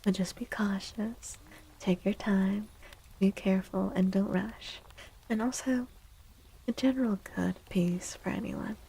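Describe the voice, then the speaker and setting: A woman hosting a soft-spoken ASMR livestream and reading tarot, gentle and reassuring, often slipping into a whisper. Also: medium pitch, fairly even intonation, measured pace, gravelly, slight background noise, poor audio. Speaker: livestream host and tarot reader.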